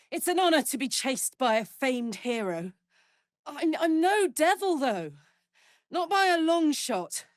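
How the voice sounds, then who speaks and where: A woman's voice: mid-range voice, no room sound. A tough, big-hearted warrior woman with devil's blood for a fantasy video game. She talks bluntly and earthily and takes her time over the words. The sound is close and dry. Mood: casual, wry. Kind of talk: video game character voice acting.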